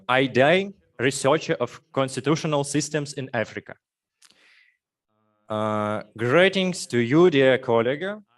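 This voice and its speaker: A man with a Russian accent giving a formal welcome as a conference moderator, halting often with fillers. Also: medium pitch.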